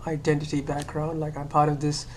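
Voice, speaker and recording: A woman's voice: low voice, monotone, a little fast, a little mellow, a little hiss or hum. A woman from Sri Lanka talking to camera in a casual monologue, hesitant, with many fillers and restarts. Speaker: vlogger.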